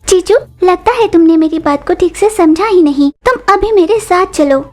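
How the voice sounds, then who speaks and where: A woman's voice: very high voice, moderate pace, warm tone, faint music behind, voice pushed up. A woman voicing an indignant, demanding small female bird with a scolding, insistent manner in a cartoon story. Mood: indignant, impatient.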